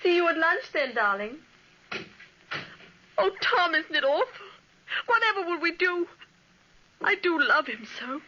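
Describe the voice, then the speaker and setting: A devoted, anxious young woman pleads earnestly. Her voice is high and bright, with lively movement in pitch. It is an old radio drama with a dull, muffled broadcast sound. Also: unhurried, long pauses, slight background noise, exaggerated voice. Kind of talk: 1940s radio acting.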